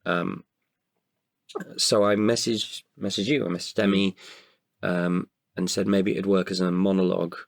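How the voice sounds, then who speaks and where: A man with a deep voice that often drops into fry. He speaks in a reflective, personal interview style, breaking sentences with pauses as he goes. The recording is close and dry. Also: level tone, no room sound. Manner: halting, pausing mid-sentence. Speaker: actor and guest writer.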